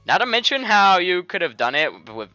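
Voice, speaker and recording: A man's voice: sits high, huge pitch swings, unhurried, pushed and bright, dry recording, dull audio, voice pushed up, heavily acted. A man voicing a teasing, mocking schoolgirl friend in an exaggerated way for a video game. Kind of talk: video game fan voice-over.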